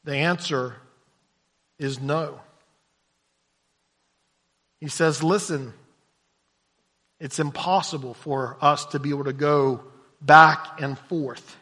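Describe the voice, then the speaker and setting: A male preacher with a high, bright voice gives a sermon at an unhurried, deliberate pace. He drops to hushed low lines, then climbs to loud, shouted emphasis, and sometimes repeats words as he pushes on. His voice carries a long reverb, as if it fills a church hall. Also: fairly even intonation. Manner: repeats words when stumbling.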